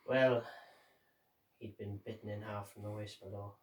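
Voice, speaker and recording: A man playing a grim, haunted sailor who survived a sinking, reciting a dramatic monologue in halting, measured phrases with deliberate pauses. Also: lowish voice, fairly even intonation, darkish tone, lightly acted. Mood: somber. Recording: roomy echo, distant microphone.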